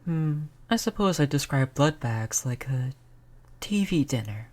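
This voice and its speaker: A performer voicing a gentle, polite vampire in an intimate roleplay audio, speaking in a soothing, conversational way at a medium pitch. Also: animated, a little slow. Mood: pleased, soothing. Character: gentle, reassuring vampire, eighty years old, eternally polite. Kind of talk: roleplay audio drama, intimate one-on-one talk to listener.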